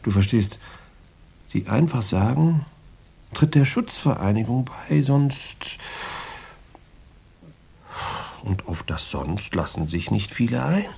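An actor playing a boastful, tough former heavyweight boxer in a radio crime drama, rambling in slangy, gravelly talk with swagger. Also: male voice, medium pitch, animated, unhurried, warm tone, heavily acted. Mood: confiding. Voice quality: gravelly, husky.

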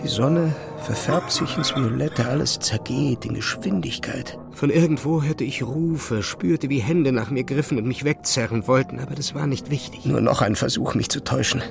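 Actor as a haunted narrator over prominent music. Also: male voice, medium pitch, normal speed, vocal fry, natural voice. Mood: entranced. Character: haunted male physicist narrating his own visions. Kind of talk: dramatic first-person narration in radio drama.

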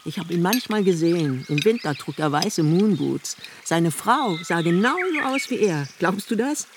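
A woman's voice: on the low side, animated, normal speed, background noise. An older woman playing an eccentric, prickly old mother in a radio play, blunt and needling. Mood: musing.

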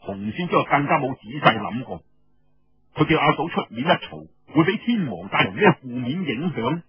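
A man with a Cantonese accent telling a story in an expressive, colloquial oral storytelling style, with rhetorical asides. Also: mid-range voice, normal speed, husky, no echo, highs cut off, soft background music. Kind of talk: dramatic traditional oral storytelling narration.

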